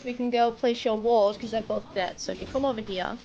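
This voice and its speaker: A woman's voice: fairly high pitch, steady tone, brisk, a little mellow, muffled recording. A woman streaming live, patiently explaining a video game step by step to a newer player. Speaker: streamer coaching a new player.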